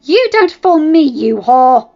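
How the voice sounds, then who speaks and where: Screaming noble lady, venomous and scornful, high strained voice, dull muffled recording, faint music behind, as a woman reads her. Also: fairly even intonation, unhurried. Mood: furious. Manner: shrieking insults, venomous. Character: furious noble lady, middle-aged, scornful.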